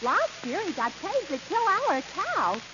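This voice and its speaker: A high, swooping voice of a ditzy, guileless woman in old radio comedy. She delivers silly lines with complete innocence. The recording is hissy and muffled, as from the 1930s. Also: wildly swooping pitch, unhurried, exaggerated voice. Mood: naive, chirpy. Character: ditzy, naive, chatty comic woman.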